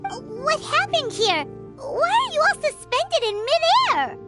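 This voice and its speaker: Game fairy sidekick: childlike, curious, squeaky, swooping intonation. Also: female voice, wildly swooping pitch, takes it slowly, over loud music, exaggerated voice. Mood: puzzled. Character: small floating childlike fairy companion, chirpy and curious. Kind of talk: video game voice acting.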